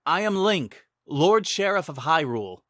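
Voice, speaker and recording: A man's voice: fairly high pitch, sing-song, a little slow, fairly bright tone, no room sound, studio quality, voice pushed up. A man voicing a young hero swordsman, brave but nervous, in an earnest, declamatory manner for a fantasy audio story. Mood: nervous resolve.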